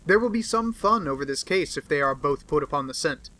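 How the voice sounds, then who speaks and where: Aloof genius detective as a guy reads him, curt and cool, kinda bright tone. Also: on the high side, animated, a little fast. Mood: dismissive.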